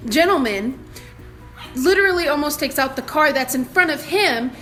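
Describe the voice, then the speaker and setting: High, bright-voiced young woman telling a story. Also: expressive, moderate pace, faint music behind. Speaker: vlogger telling a personal story. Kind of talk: casual animated storytelling.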